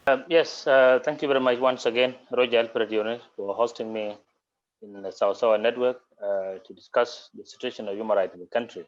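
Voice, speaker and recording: A man with a South Sudanese accent giving formal, deliberate interview answers as an official over a video call. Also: mid-range voice, steady tone, lo-fi sound. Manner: deliberate, official, legalistic phrasing. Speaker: human rights commissioner, interview guest.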